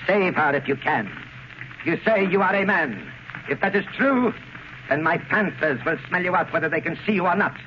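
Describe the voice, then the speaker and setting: An actor playing a menacing, grandiose cult prophet from India in a theatrical, commanding 1930s radio drama style. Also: male voice, high voice, expressive, moderate pace. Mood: sinister command. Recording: muffled, noisy 1930s radio recording.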